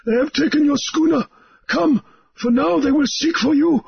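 A man's voice: very high voice, moderate pace, vocal fry, dull audio, pitched above the performer's own voice. A man voicing a breathless island guide who urges his friend to flee. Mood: urgent. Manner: breathless, urgent.

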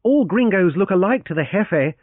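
Earnest young adventurer, a guy voicing him a bit higher and pushy, tinny phone-like sound. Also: animated, moderate pace, no echo. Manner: forceful, earnest, indignant.

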